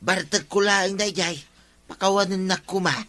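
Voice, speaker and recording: A man's voice: high-pitched, moderate pace, pushed and bright. A man acting a hapless, pleading village husband in an Ilocano comedy drama, with an Ilocano accent. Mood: sheepish pleading. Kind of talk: Ilocano radio-style comedy drama dialogue.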